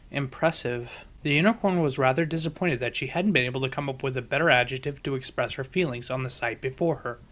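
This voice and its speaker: A man's voice: a little high, a bit bright. A man narrating a scripted story as an audiobook reader.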